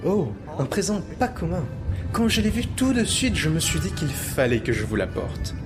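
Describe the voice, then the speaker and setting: An actor playing a sly, cheeky thief in an audio drama, glib and informal with a theatrical streak. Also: male voice, on the high side, sing-song, normal speed, music in the background. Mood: flattering, showy. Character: sly, cheeky male thief, flattering and self-serving.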